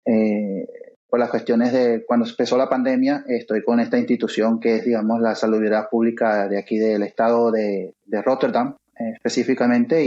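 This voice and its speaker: A man in his forties, a guest author, answering interview questions haltingly, with many fillers and pauses, over a remote call. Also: mid-range voice, warm tone, muffled recording. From Venezuela. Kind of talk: interview conversation.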